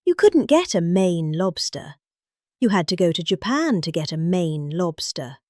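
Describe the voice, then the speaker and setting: A woman with a British accent voicing a pompous, indignant middle-aged lady delivering a rambling political speech, with exaggerated emphases and pauses. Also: on the low side, big pitch swings, darkish tone, no echo, clean studio sound. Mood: indignant, incredulous. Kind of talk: dramatic comic reading of a political speech.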